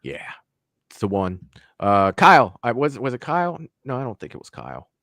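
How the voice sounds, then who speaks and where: A man's voice: medium pitch, huge pitch swings, moderate pace, fairly bright tone, no echo. A man hosting a casual live stream, talking loosely, laughing often and swearing offhand. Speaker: host.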